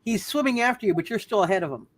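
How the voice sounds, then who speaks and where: A woman running an improvised tabletop roleplay as game master, halting and often repeating phrases as she narrates. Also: medium pitch, animated.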